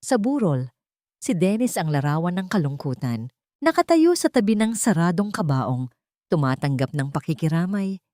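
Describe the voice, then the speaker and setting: A woman's voice: on the low side, sing-song, normal speed, dark tone, dry recording, clean studio sound. A woman with a Filipino accent narrating a dramatic story as a scripted audiobook.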